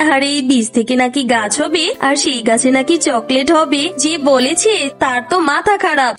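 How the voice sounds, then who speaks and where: A woman's voice: high voice, close to an everyday voice. A woman voicing a strict but loving fox mother in a children's cartoon, with an exclaiming manner. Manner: scolding, exclaiming. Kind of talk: children's cartoon story acting.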